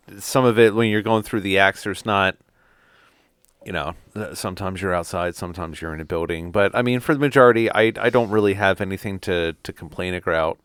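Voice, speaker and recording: A man's voice: sits low, moderate pace, fairly bright tone, clean tone, dry recording, clean studio sound. A man co-hosting a podcast, chatting casually with a goofy, crude, joking manner. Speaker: podcast co-host. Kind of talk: casual chat.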